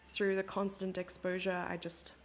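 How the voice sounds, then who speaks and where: A woman's voice: on the low side, monotone, normal speed, faint music behind. A South African woman giving live chess commentary and chatting with her viewers, reading their questions aloud and answering them. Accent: South African English.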